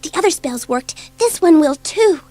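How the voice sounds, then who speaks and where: An impulsive schoolgirl in a children's audio drama, with a very high, girlish voice. Her delivery is breathless and eager, swinging widely in pitch. Soft music plays behind her. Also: lively intonation, normal speed, no room sound. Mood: excited. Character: bored, impulsive, excitable schoolgirl dabbling in magic.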